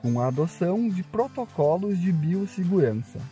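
A man with a Brazilian Portuguese accent reads a campus news report in a steady, measured way. His voice sits a little high and sounds mellow. Soft music runs under it. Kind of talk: scripted news narration.

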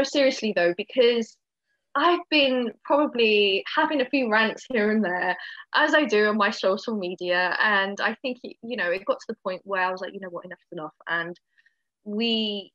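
A woman's voice: on the high side, moderate pace, fairly bright tone, dry recording. A woman co-hosting a podcast, talking frankly and chattily with lots of fillers and easy laughs. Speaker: podcast co-host. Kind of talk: casual podcast chat. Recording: dull, dry, lo-fi sound.

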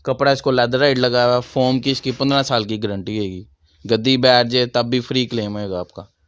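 Clear-voiced Pakistani salesman. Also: medium pitch, fairly even intonation, fairly quick, dull audio. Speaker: furniture shop owner. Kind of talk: interview, sales explanation of products and prices. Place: small crowded furniture shop, indoors. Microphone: handheld microphone held by interviewer.

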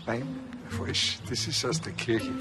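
A man playing a cheeky, dry police officer with a Bavarian accent in a TV crime comedy, talking in an offhand, deadpan way. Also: mid-range voice, slow, over music, close to an everyday voice. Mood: dry, teasing.

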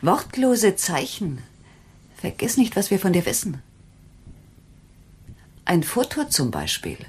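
An actress playing an anxious, probing wife in a German radio crime drama, with a husky, insistent voice. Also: female voice, fairly low pitch, huge pitch swings, slow, exaggerated voice. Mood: anxious, urgent.

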